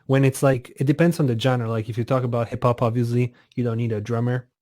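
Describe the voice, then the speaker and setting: A man in his twenties from Italy, a music producer chatting casually as a guest, relaxed and often laughing, with many fillers. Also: medium pitch, fast, no echo, clean studio sound. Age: 20s. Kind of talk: casual interview over a call.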